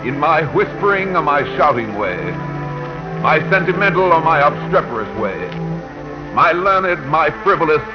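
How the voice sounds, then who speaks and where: The personified voice of radio, a solemn and poetic oracle pitched high. He declaims grandly in 1940s pageant style. Loud music plays behind him on a dull old broadcast recording. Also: big pitch swings, moderate pace. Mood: solemn, questioning. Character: Radio itself, a grand oracular male personified voice.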